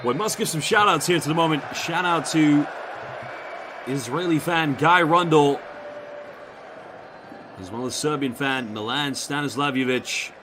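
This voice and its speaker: A man's voice: on the high side, a little slow, pauses often. Man, London accent, basketball commentator, chatty, noisy arena broadcast. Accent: London English. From England. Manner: chatty, reads out viewer shout-outs between plays. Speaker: play-by-play commentator.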